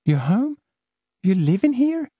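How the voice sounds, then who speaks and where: A man voicing a frightened, stubborn young girl trapped in a drain, shrill and pleading. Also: big pitch swings, moderate pace, no room sound, muffled recording, exaggerated voice. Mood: fearful.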